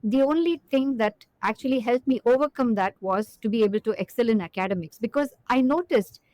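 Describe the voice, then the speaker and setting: A woman's voice: on the high side, brisk, a little mellow, no echo, rough recording. Earnest middle-aged woman, dry close sound. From Chennai, India. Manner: earnest, many fillers and restarts. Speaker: guest executive. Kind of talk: reflective interview answer.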